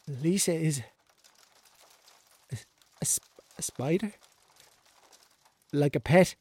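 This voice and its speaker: A woman playing a blunt, sweary, hungover young character in a radio drama, halting and exasperated as nerves take over. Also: sits low, darkish tone, husky, no room sound, exaggerated voice. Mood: nervous disbelief. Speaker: actor in an audio drama. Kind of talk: naturalistic radio drama acting.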